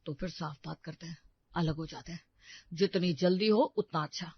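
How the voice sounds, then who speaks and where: A fed-up, overburdened father, as a woman narrator acts him out, venting loudly in a domestic quarrel. The sound is dry and close, with no room echo. The top end is a little dull. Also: on the low side, moderate pace, husky. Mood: exasperated. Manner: exasperated, complaining. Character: weary, overburdened husband and father.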